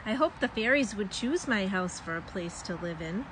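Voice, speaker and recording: A woman reads a children's storybook aloud in a mid-pitched voice. She tells it expressively, drawing out words for young listeners. Some background noise sits behind her. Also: animated, moderate pace.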